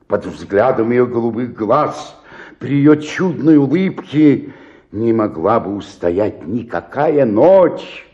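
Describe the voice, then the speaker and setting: Despairing old stage player, wildly swinging pitch. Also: male voice, darkish tone, muffled recording. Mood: rapt, wistful. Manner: declamatory, swinging between outburst and murmur. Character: despairing old male provincial actor, self-pitying, theatrical, nostalgic. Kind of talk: radio play dramatic monologue.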